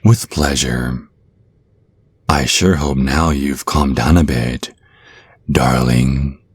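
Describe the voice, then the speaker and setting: Male actor as menacing naga vampire, rumbling bass, slow deliberate delivery, sweetly condescending, close dry ASMR sound. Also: fairly even intonation, husky, dry recording, full character voice. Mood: controlled menace. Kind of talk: ASMR roleplay acting. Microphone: close microphone, dry.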